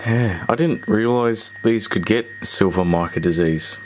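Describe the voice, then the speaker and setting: A man with a low-pitched voice hosts a casual repair vlog. He speaks hesitantly, working things out aloud as he goes. The recording carries clear background noise and an electrical hum. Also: unhurried. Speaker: host of a radio repair video.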